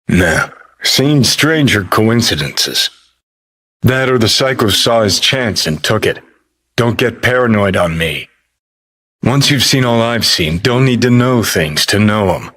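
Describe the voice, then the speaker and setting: An American man playing a cynical, foul-mouthed, world-weary rebel rock star in a video game, with a gravelly, husky voice and a clipped, sardonic, swaggering drawl. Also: fairly low pitch, fairly bright tone, exaggerated voice. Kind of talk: video game character dialogue.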